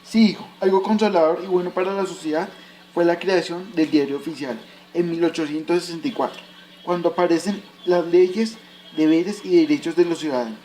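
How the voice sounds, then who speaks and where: Man playing a high-voiced father teaching history. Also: a little fast, dark tone, natural voice, rough recording. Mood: explanatory. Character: patient father teaching his son history. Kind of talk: scripted educational radio drama.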